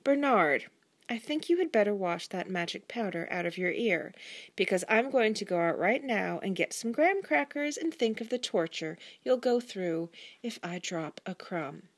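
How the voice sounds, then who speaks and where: A woman voicing a patient, sensible, gently chiding wife in a children's storybook, measured and precise. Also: medium pitch, lively intonation. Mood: dry, chiding.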